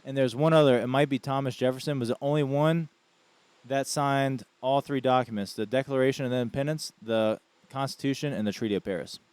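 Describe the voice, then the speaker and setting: A man's voice: mid-range voice, fairly quick, clear, resonant tone. A man co-hosting a casual podcast, telling history anecdotes in a loose, slangy way and saying "dude" a lot. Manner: animated storytelling, slangy, says "dude". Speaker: podcast co-host.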